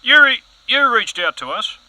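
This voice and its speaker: An actor playing an overzealous, gullible TV news anchor in a satirical audio comedy, dramatic and insinuating. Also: male voice, extremely high pitch, very animated, moderate pace, bright, forceful tone, exaggerated voice, poor audio. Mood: intrigued, suspicious. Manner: dramatic, insinuating, earnestly suspicious.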